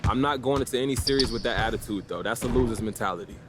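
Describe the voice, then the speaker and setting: A man's voice: mid-range voice, quick pace, over music, close to an everyday voice. A man playing a confident young pro basketball rookie in a video game, talking in a casual, slangy, self-assured way. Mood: earnest, assured. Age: young adult.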